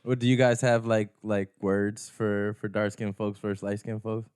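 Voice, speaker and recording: A male podcast host with a smooth, clean voice, recorded close and dry. He asks wandering questions in a relaxed way and fills the gaps with quick "yeah"s and "mm"s. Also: fairly low pitch, no echo. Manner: easygoing, asks rambling questions, frequent short backchannels. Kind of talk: interview chat.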